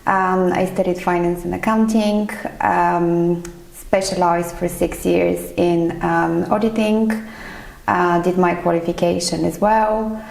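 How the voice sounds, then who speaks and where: A woman's voice: fairly low pitch, steady tone, slow, vocal fry, darkish tone, echoey room, rough recording. A woman from Bulgaria in her thirties, a finance professional, answering interview questions in a thoughtful, hesitant way with many fillers. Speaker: chief financial accountant, interview guest. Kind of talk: casual podcast interview.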